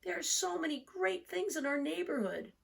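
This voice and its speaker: A woman's voice: very high-pitched, expressive, moderate pace, gravelly, far from the mic, pitched above the performer's own voice, heavily acted. A woman voicing Franklin, an earnest, eager and indecisive young schoolboy animal in a storybook, with a childlike, plaintive manner. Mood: undecided.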